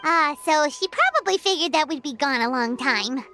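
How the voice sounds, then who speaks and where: An actress voicing a tiny, childlike female fairy companion in a video game, chatty, naive and excitable, with a cute squeaky voice. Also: normal speed. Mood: curious, puzzled. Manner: cute, refers to herself in third person. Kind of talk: cartoonish video game voice acting. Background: music.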